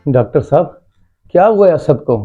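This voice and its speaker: A fairly high-pitched man acting as a young boy's devoted father. He speaks earnestly and deliberately, as in a moral family drama, caring yet firm. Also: expressive, phone-quality sound, faint music behind, natural voice. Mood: worried. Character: indulgent father of a young boy, respectful but firm son.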